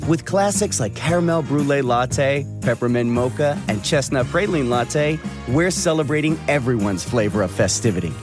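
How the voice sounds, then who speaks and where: A man's voice: mid-range voice, expressive, moderate pace. A man reading a scripted holiday advertisement as a commercial announcer. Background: music with jingle bells.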